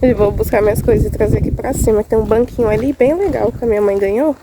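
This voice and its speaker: A woman's voice: fairly high pitch, fast talker. A Brazilian woman with a cold, chatting casually as she films a vlog outdoors on rural land, gushing over small things. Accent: Brazilian Portuguese. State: congested with a cold. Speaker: vlogger. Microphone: handheld phone. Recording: windy outdoor phone recording. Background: wind and outdoor noise.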